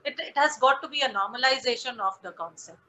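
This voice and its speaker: A woman speaks high and bright, warmly backing up what the last speaker said. She is a participant in a casual online panel, and her emphatic agreement carries her voice. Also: fast, slight background noise. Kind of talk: casual discussion on a video call.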